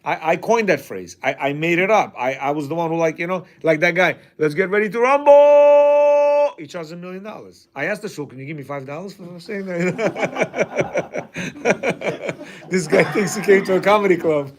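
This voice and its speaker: A middle-aged man with a loud voice giving a lively, jokey class to a group of men, acting out what people said. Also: high voice, moderate pace. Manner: animated, jokey, acts out quotes. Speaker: class teacher. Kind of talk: lively lecture to a men's class.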